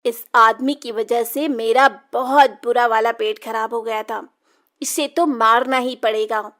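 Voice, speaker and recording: A woman's voice: on the high side, steady tone, unhurried, fairly bright tone. A woman voicing a vengeful young witch in a children's cartoon story, with a mocking, cackling manner. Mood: vengeful, brooding. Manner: taunting, cackling.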